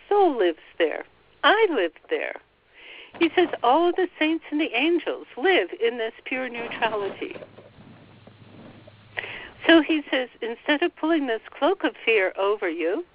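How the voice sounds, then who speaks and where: Slow, soft-spoken woman guiding a meditation. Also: fairly high pitch, no echo. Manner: soft, relaying every phrase with "he says". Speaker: channeler relaying a spiritual guide. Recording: dull, dry lo-fi recording.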